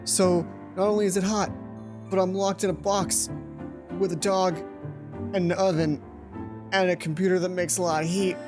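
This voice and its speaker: A woman with a low voice hosts a playthrough of a story game. She reads the narration aloud and drops in casual remarks of her own. Music plays clearly behind her. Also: little pitch movement, normal speed, pauses often. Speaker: let's play host. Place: closed hot room at home.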